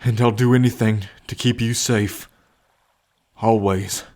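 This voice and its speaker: A man playing a gentle, devoted bull man with a violent past in an ASMR roleplay, speaking earnestly and tenderly with halting pauses. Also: fairly low pitch, steady tone, lightly acted. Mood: tender devotion. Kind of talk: ASMR roleplay addressed to the listener.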